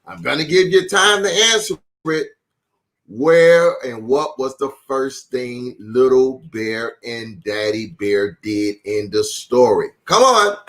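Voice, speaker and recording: A man hosting storytime for young children, reading and chatting in a playful, encouraging, deliberate way. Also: mid-range voice, animated, fairly bright tone. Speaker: children's storytime host, pastor. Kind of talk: animated picture-book reading and chat for young children.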